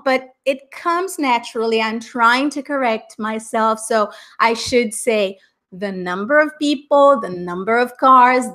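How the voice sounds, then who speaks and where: A woman's voice: a little high, a bit bright. A middle-aged Brazilian woman with an Americanized Brazilian accent, an upbeat, chatty English exam teacher giving a live online class and laughing often. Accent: Brazilian Portuguese, Americanized English. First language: Portuguese.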